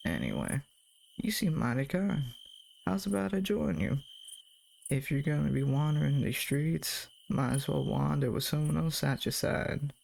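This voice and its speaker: An actor voicing a folksy, friendly drifter in a scripted audio monologue, with a familiar, chatty way of talking. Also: male voice, on the high side, sing-song, a little slow, vocal fry, a little mellow, a little hiss or hum, full character voice. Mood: warm, teasing. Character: folksy, drawling friendly drifter man with unnatural features. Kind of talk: scripted character monologue to the listener.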